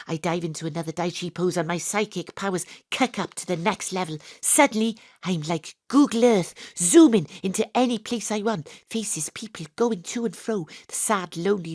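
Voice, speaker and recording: A woman's voice: fairly low pitch, a little mellow, no room sound, studio quality, close to an everyday voice. A woman playing a brash, sweary private detective who narrates her own comic audio drama in a breezy, irreverent way. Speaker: narrator and lead character. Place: home studio.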